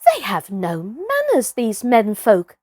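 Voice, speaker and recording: A proud young wild boy from a children's storybook, acted by a woman. He muses with disdain in a raised, animated pitch. The sound is close and dry. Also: slow, no echo, exaggerated voice. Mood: disdainful. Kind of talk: children's storybook character reading.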